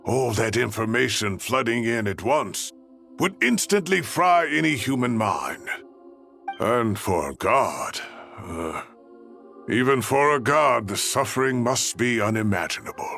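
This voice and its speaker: An actor playing a troubled researcher in a video game, emphatic and given to thinking aloud, with a gravelly creak. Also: male voice, mid-range voice, sing-song, unhurried, a bit bright, soft background music, full character voice. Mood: alarmed, pained. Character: expressive, troubled male ley line researcher. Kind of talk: video game cutscene voice acting.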